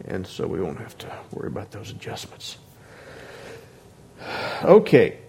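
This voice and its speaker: A man leading a Bible class into a microphone, talking hesitantly with many restarts and fillers and clearing his throat often. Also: sits low, huge pitch swings, a little slow, a little mellow. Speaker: Bible teacher. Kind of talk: informal Bible class talk. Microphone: microphone on a sound system.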